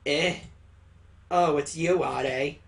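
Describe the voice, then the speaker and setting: A man's voice: on the high side, fairly even intonation, very slow, gravelly, exaggerated voice. A man voicing a flustered, exasperated girl in a visual novel, stammering as she protests. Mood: surprised. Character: flustered, brooding girl, protesting teasing affection.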